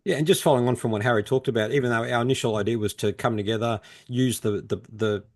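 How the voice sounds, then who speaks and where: A man's voice: mid-range voice, fairly even intonation, fast. Male podcast co-host, medium register, informal and colloquial, explanatory conversational delivery. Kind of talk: casual interview talk.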